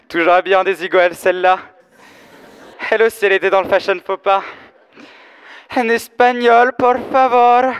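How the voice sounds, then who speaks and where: A flamboyant, fashion-mad gossip in a comic audio drama. His high voice stretches slangy exclamations theatrically. It echoes around a large hall. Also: smooth. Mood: mocking, amused. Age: teenager or young adult. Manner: camp, theatrical, drawn-out exclamations. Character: gossipy, flamboyant, fashion-obsessed DJ best friend. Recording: echoey hall, live stage performance.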